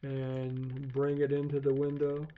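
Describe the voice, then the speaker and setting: A man giving an unscripted screencast tutorial, haltingly thinking aloud as he demonstrates software. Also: medium pitch, completely flat delivery, a little hiss or hum. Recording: dull, lo-fi voice recording.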